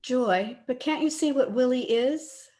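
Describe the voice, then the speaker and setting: A woman's voice: high voice, unhurried, mellow. A woman playing a headstrong, lovestruck young daughter in an old-time radio drama, earnest and a little petulant. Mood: earnest, pleading. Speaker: actor in an amateur radio play. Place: at home, recorded over video call.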